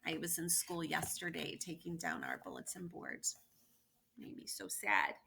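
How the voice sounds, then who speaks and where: A woman reading a picture book aloud as a teacher would, expressively, with emphatic stresses and personal asides to her young listeners. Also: mid-range voice. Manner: animated storytelling with emphatic stresses and playful questions to listeners.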